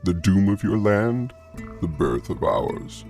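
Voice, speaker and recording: A sinister vampire lord of dark fantasy. The voice is low and gravelly, drawn out with cold, proud deliberation, over music. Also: male voice, big pitch swings, takes it slowly, full character voice. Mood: cold menace. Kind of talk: fantasy dramatic reading.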